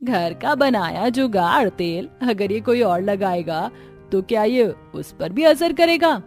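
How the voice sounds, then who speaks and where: A woman's voice: medium pitch, lively intonation, slow, a little mellow, soft background music. A woman playing chatty young village women in a cartoon story. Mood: curious.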